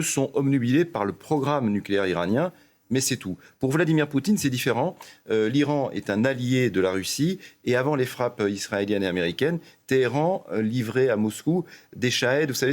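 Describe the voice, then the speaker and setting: A male news commentator with a French accent from France and a mid-pitched voice. He explains political affairs in an emphatic, rhetorical way, often turning directly to his listeners.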